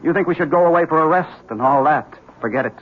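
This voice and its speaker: A man's voice: fairly high pitch, quick pace, husky. An actor playing a bitter, brooding husband haunted by his wife in a 1970s radio drama. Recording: tinny old radio broadcast with faint hiss.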